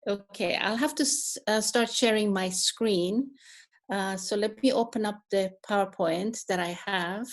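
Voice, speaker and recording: A middle-aged woman from Iran gives a talk over a video call, taking her time with each phrase. She speaks at an unhurried pace and a middling pitch, often pausing on fillers and restarting her sentences as she searches for the right words. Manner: slow, thoughtful, with many fillers and restarts. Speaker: guest speaker, neuroscientist. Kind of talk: online talk with slides.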